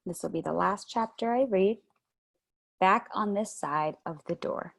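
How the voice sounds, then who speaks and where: A woman hosting a children's read-aloud over a video call, narrating a book with steady, gentle storytelling. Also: mid-range voice, a little slow, gravelly.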